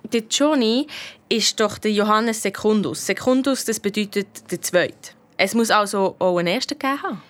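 A woman playing a clever, eager schoolgirl detective with a Swiss accent, telling her case in a lively, colloquial way in a children's radio play. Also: mid-range voice, moderate pace, lightly acted. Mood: determined.

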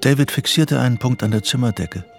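A man's voice: mid-range voice, fairly quick, vocal fry, soft background music, lightly acted. A man narrating a German radio play in a scripted, literary style.

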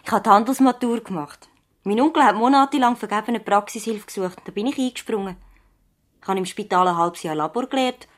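An actress playing an earnest, thoughtful young practice assistant and loyal niece in a radio play, with a Swiss German accent. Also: female voice, medium pitch, fairly quick, lightly acted. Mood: animated, proud. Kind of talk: radio play acting, conversational dialogue.

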